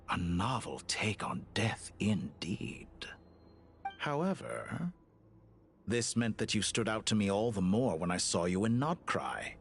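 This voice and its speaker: An actor playing a smug, cruel mad-scientist villain in a video game, pompous, taunting and condescending. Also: male voice, fairly low pitch. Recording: game music behind the voice.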